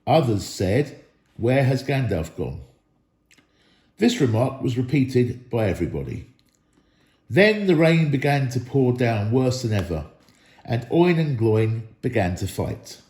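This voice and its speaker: A man reading a storybook aloud as an expressive narrator. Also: medium pitch, a little slow, reverberant. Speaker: storybook reader.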